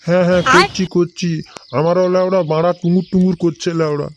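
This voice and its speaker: An eager, lovestruck cartoon boyfriend, played by a man. His voice is on the high side and he sweet-talks with a lecherous edge. There is light background noise. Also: flat delivery, fairly quick, lightly acted. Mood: eager, wooing. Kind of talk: adult comedy cartoon voice acting.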